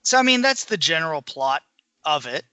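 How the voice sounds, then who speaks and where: A man's voice: fairly high pitch, very animated, moderate pace, sharp tone, clear, resonant tone, dry recording. A man co-hosting a podcast, discussing books in a colloquial, opinionated way. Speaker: podcast co-host.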